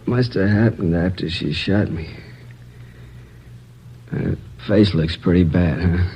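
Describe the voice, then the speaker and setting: A man's voice: low voice, slow, dark tone, breathy edge, full character voice, rough recording. An actor playing a badly hurt male robbery victim lying in a hospital bed, weak and worried, in 1950s radio drama acting on an old recording. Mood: weary.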